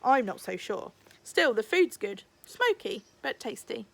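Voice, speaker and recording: A woman's voice: fairly high pitch, very animated, measured pace, creaky, heavily acted. A woman voicing a friendly, easygoing young man who talks in a chatty, informal way in a storybook reading. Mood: doubtful, curious.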